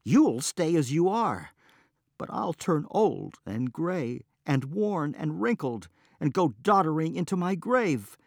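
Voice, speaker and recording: A man's voice: on the low side, big pitch swings, takes it slowly, warm tone, husky, dry recording, clean studio sound, exaggerated voice. A man voicing an exasperated peasant wife who scolds her foolish husband in a storybook reading. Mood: scolding.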